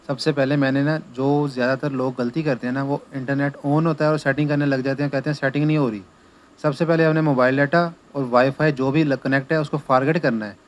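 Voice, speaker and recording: A man's voice: medium pitch, a little hiss or hum. A man giving an informal hands-on tutorial as an installer, explaining step by step and repeating his instructions.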